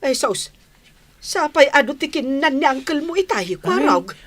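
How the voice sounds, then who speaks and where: A woman playing a concerned, gently scolding village auntie in a comedic radio drama, with an Ilocano Philippine accent. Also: high-pitched, lively intonation, unhurried. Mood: fussing.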